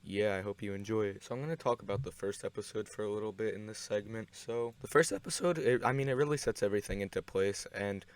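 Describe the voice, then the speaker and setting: A man with a fairly low-pitched voice talks through a casual video essay. His delivery is offhand and rambling, with deadpan joking in the way he sums things up. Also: quick pace, hardly any pauses, clean tone. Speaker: video host.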